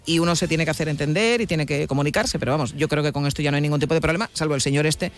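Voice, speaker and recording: An older woman with an Andalusian accent, hosting a live radio talk show in a quick, chatty manner with frequent fillers. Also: deep voice, faint music behind. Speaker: radio host.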